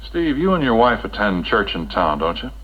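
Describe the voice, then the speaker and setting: Casting: kindly chaplain, husky and expressive, earnest counsel, dull old film sound. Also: male voice, on the low side. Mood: gently probing. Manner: measured, gently probing, earnest. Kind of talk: 1960s educational film acting.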